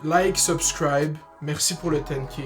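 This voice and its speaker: Young Québécois man, higher-pitched, chatty vlogger. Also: steady tone, a little slow. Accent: Québécois French. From Quebec, Canada. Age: young adult. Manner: informal, slangy, mixes in English words. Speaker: YouTuber. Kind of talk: casual Q&A vlog to camera. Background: music.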